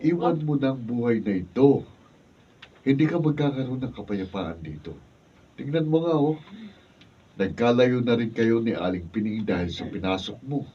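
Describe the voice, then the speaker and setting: A man's voice: medium pitch, expressive, normal speed, dark tone, husky. An actor playing a concerned, old-fashioned male friend who pleads earnestly, in a Filipino radio drama. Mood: earnest, pleading. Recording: dull, distant room recording, faint noise.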